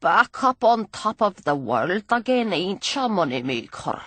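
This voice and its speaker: A woman voicing a drunken, grimy old male street musician with a cracked, ragged voice and a slow, sneering drawl. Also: mid-range voice, sing-song, fairly bright tone, dry recording. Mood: sly, wheedling.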